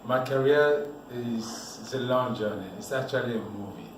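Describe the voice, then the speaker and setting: A man from Cameroon, a model telling his life story in a relaxed, rambling way as an interview guest. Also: medium pitch, moderate pace. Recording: echoey room, voice from a distance, noisy background.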